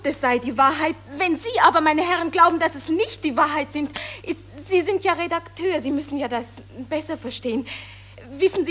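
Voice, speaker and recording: A woman's voice: high-pitched, lightly acted. A woman playing a flustered, easily intimidated actress, theatrical and gushing, in a 1940s German radio play. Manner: stammering, gushing, pleading. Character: flustered, intimidated actress turning ingratiating and apologetic.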